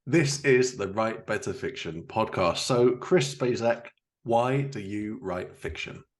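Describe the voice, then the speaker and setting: A man's voice: lowish voice, slow. A man hosting a podcast interview and putting questions to his guest. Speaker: podcast host.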